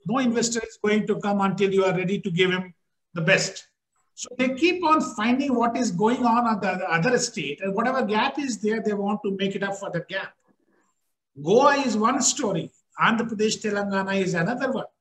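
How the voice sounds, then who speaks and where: A man in his fifties with an Indian accent and a high-pitched voice. He talks as a government panelist on a video call, restating points with emphasis. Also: animated, normal speed, fairly bright tone, rough recording. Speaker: government policy official, panelist. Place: small office, indoors. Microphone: video call, computer mic at desk.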